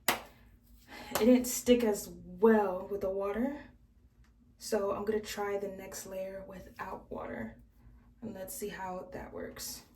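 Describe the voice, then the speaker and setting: A woman's voice: mid-range voice, darkish tone, slight background noise. A young woman talking to camera as she does her hair, casual and chatty. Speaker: beauty vlogger. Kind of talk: casual hair tutorial talk. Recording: roomy, from a distance.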